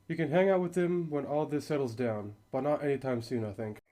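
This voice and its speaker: Man playing a gentle dad, dark mellow voice. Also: mid-range voice, fairly quick, lightly acted. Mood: reassuring. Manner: calm, reassuring. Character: gentle, patient father of a young girl. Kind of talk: amateur radio drama acting.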